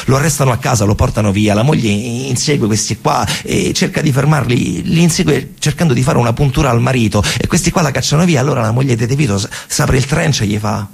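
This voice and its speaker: Roman guy doing a dramatic theater monologue, really hoarse-sounding husky voice, kinda low, talks colloquial and punchy. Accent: Roman Italian. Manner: colloquial, emphatic storytelling with repeated phrases. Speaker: stage actor and storyteller.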